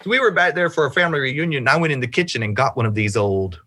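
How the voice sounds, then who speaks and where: A middle-aged man from the southern United States on a video call from his home office, telling anecdotes with expressive, deliberate emphasis. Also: medium pitch, no room sound. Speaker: storyteller, interview guest. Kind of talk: anecdotal storytelling in conversation. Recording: video call with music behind.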